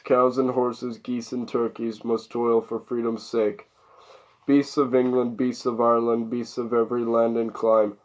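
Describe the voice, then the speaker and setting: Man voicing a hoarse old revolutionary boar, lo-fi. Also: mid-range voice, darkish tone, lightly acted. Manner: solemn, declaiming song verses. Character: old male boar, rousing revolutionary elder of farm animals.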